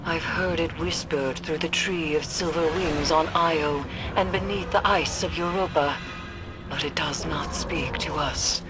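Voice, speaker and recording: A woman's voice: low-pitched, steady tone, unhurried, heavy reverb, music in the background. A woman playing a grave mystic seer in a video game, speaking in portentous, precise, formal phrases. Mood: grave warning. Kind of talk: scripted video game dialogue.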